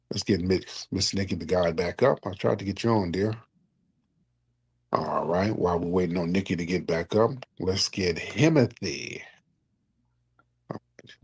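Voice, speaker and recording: A man's voice: deep voice, a little mellow, breathy edge, no room sound. A man hosting a live call-in stream, questioning callers bluntly and skeptically. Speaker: live show host. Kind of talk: casual live-stream chat.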